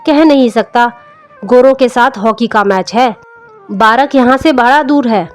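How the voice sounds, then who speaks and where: A woman's voice: a little high, unhurried, lightly acted. A woman voicing a polite, breezy schoolboy son in a story reading. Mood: breezy, respectful. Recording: music under the voice.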